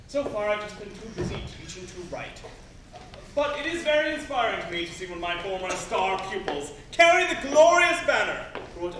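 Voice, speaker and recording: Echoey distant stage recording, male actor as fussy wordy schoolteacher, high swooping voice, pompous, proud. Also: noisy background. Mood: proud, wistful. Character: proud, fussy former schoolteacher, wistful would-be writer. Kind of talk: stage play dialogue.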